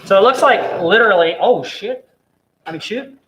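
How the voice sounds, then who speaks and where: A young man from South Carolina talks through a computer build in a relaxed, chatty way. His voice sits a little high. The studio recording carries a clear hiss and background noise. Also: normal speed, lo-fi sound. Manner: relaxed, chatty, explains as he works. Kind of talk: casual hands-on demo chat. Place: hot studio.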